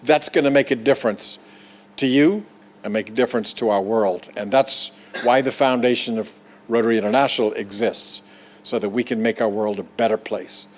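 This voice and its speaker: A man's voice: medium pitch, moderate pace, a little hiss or hum. A man from the Bahamas giving an earnest, encouraging ceremonial speech at a podium as a Rotary Foundation leader. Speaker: Rotary Foundation trustee chair.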